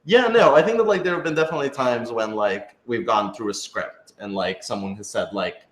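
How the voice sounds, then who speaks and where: A man's voice: mid-range voice, fairly quick. A man chatting casually in a group Q&A as a writer and producer, thinking aloud in loosely built, hedged sentences. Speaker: scriptwriter and producer of an audio drama. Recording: roomy, echoey sound.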